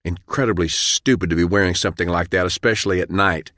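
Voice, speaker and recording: A man voicing a stern, battle-weary former army colonel who is principled and speaks in a commanding, forceful way. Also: sits low, steady tone, quick pace, no room sound, studio quality.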